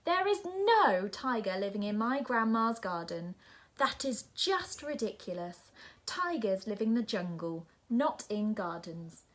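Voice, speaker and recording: A woman voicing a bored, stubborn young girl who insists loudly, in a breathy voice. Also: mid-range voice, very animated, a little slow. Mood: insistent. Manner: insistent, exasperated.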